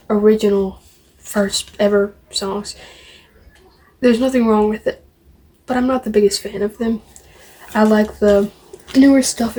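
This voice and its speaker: A woman's voice: on the high side, a little mellow, breathy edge, poor audio. A woman vlogging casually to camera, showing off a collection in a rambling, opinionated way and repeating phrases. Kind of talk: casual show-and-tell to camera.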